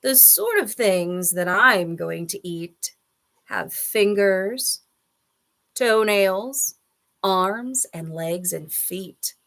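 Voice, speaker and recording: A woman's voice: mid-range voice, animated, extremely slow, fairly bright tone, full character voice. A woman voicing a greedy, sly male crocodile from a children's storybook, gloating and relishing every menacing word. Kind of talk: children's storybook character reading.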